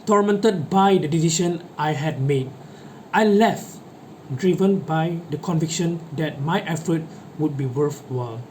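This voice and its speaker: A man's voice: fairly high pitch, a little mellow. A man performing a dramatic monologue as a guilt-ridden schoolboy defending his betrayal of friends, in an earnest, emphatic, formal delivery. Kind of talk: dramatic monologue, reader's theatre. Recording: noticeable background noise.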